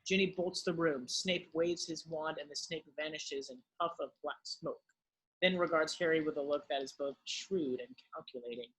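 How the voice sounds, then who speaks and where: A man giving scripted narration, reading the stage directions of a drama in a husky voice. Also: on the high side, moderate pace, a bit bright, rough recording.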